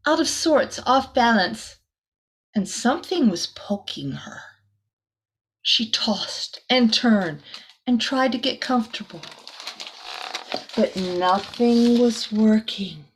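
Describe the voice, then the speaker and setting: A woman reading a picture book aloud to children like a teacher, expressively, with soft chatty asides. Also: fairly high pitch, poor audio.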